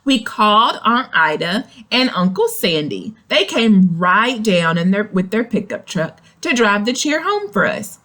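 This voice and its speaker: A woman reading a picture book aloud to young children, expressive and explanatory, speaking to them directly. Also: medium pitch. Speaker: children's story reader. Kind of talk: animated read-aloud of a picture book with asides to young listeners.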